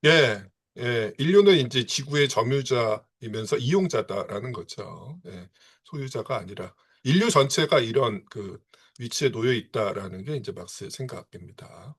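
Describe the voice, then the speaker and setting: A man giving a seminar lecture, pausing often and filling gaps with short affirmations. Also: medium pitch, animated, slightly rough. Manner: punctuates phrases with frequent filler affirmations.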